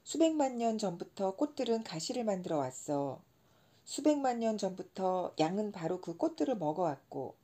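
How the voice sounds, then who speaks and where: A woman's voice: mid-range voice, big pitch swings, moderate pace, dark tone. A woman voicing an earnest, stubborn little boy prince in a storybook reading, with insistent, pleading questions. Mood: upset.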